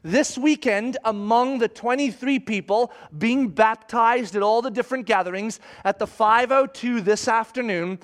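High-voiced man preaching emphatically. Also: moderate pace, few pauses. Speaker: pastor. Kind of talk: sermon.

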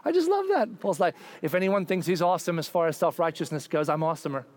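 Pastor, male, lively sermon delivery with jokes and voiced asides, slightly higher pitch. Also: fast. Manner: animated, voices quoted figures with playful humor.

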